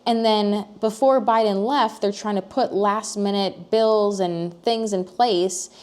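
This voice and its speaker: A woman's voice: medium pitch, steady tone, takes it slowly, a little mellow. An American young woman talking casually to camera in a personal vlog, thinking aloud with many fillers and restarts. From United States. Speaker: vlogger.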